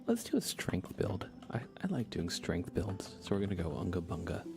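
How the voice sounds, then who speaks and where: A man streams a video game in a soft ASMR style, his voice deep and creaky. He chats to viewers in a low-key, partly whispered way, with prominent game music behind him. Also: sing-song, moderate pace. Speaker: live streamer.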